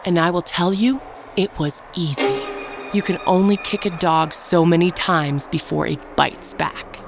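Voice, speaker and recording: A woman playing a ruthless, unrepentant ageless murderess, narrating her tale in a measured, dramatic audio drama style. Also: fairly low pitch, creaky. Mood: cold, gloating. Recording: narration over prominent background music. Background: music.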